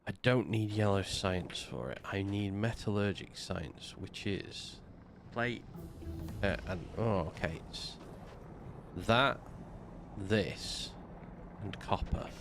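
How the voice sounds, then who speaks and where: A man's voice: fairly low pitch, creaky, background noise. A man streaming a game, talking through his building plans, snuffly from a slight cold. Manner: slow, muttering to himself while building. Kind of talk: casual gameplay commentary.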